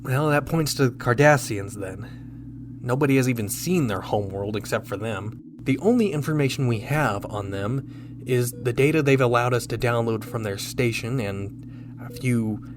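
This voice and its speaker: A man's voice: medium pitch, normal speed, noisy background, natural voice. A man playing a stern, exasperated starship captain in a sci-fi radio drama, blunt and questioning. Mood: weary, exasperated. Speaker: voice actor.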